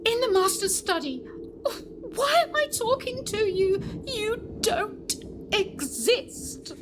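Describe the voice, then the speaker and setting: Woman playing a high-voiced, frightened governess. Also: big pitch swings, background noise, exaggerated voice. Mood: frightened, disbelieving. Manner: anxious, pleading, halting. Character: frightened young governess woman, earnest and bewildered. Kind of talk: audio play acting.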